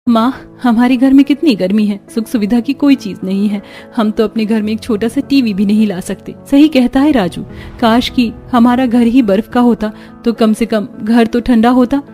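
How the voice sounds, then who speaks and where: A woman's voice: on the high side, normal speed, mellow, natural voice. A woman voicing a poor, sensitive young sister-in-law in a cartoon, caring and plaintive. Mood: hurt, wistful. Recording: music behind the voice.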